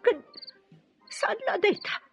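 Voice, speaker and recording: An actress playing a nagging, weary older sister in a Filipino radio drama, reproachful and emphatic, with a Filipino accent. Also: female voice, slow pace, fairly bright tone, lo-fi sound, soft background music. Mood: scolding.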